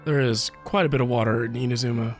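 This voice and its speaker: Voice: medium pitch, steady tone, fast talker. A livestreamer reacting casually to a game, with hesitant, wry asides. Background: game music.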